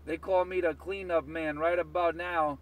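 A man's voice: a little high, flat delivery, moderate pace. A man bantering on CB radio in a repetitive, chanting way, repeating catchphrases over a radio transmission. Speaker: CB radio operator. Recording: noisy CB radio, distant mic.

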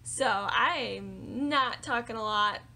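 A young woman book vlogger chatting casually and animatedly about her reading, her voice a little raspy from singing. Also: a little high, slow pace. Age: young adult. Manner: animated, chatty, lots of fillers and hand gestures. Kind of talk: casual monthly reading wrap-up. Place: small room with bookshelves indoors.

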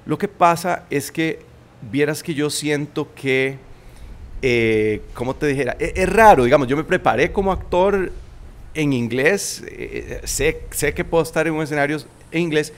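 A man's voice: fairly high pitch, normal speed. A man in his forties with a Costa Rican Spanish accent, a guest in a casual interview on a shaded veranda, speaking thoughtfully with many restarts. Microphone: studio mic on boom arm.

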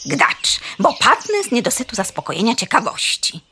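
A woman's voice: a little high, huge pitch swings, moderate pace, pushed and bright, a little hiss or hum, exaggerated voice. A woman playing a gossipy, frightened farmyard hen in a children's audio play, melodramatic and full of stuttering clucks. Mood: nosy.

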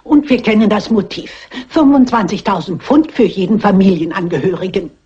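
An actress playing a stubborn, shrewd elderly lady sleuth in a dubbed crime film, husky and briskly dignified. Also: mid-range voice, warm tone. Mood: brisk, determined. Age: older. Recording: dull old film soundtrack.

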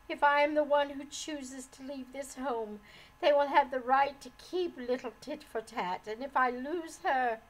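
A woman's voice: high-pitched, mellow, low-quality recording. A woman voicing a heartbroken, despairing wife and mother in a storybook, with a trembling, pleading, sobbing delivery. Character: heartbroken, despairing, unhappily married young mother.

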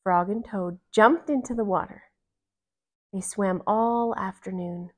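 A woman reads aloud for children at a slow pace. Her pitch sits mid-range and her narration is expressive and deliberate, like a storytime host's. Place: living room, indoors on a couch.

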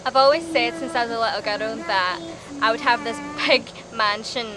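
A woman's voice: on the high side, normal speed. A young woman from Scotland with a Scottish accent, talking earnestly and gushingly to camera about her singing career. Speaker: aspiring singer. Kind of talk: promotional profile talk to camera. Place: studio and city streets.

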